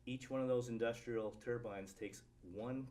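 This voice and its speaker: A man's voice: medium pitch, steady tone, moderate pace, a little mellow. A man from Vermont speaking as a guest in a casual political discussion. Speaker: panel guest.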